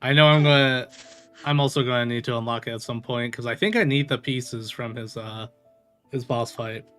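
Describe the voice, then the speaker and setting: A man's voice: mid-range voice, moderate pace, fairly bright tone. A man giving casual, chatty gameplay commentary as a streamer, reacting aloud to the game. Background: game audio and music.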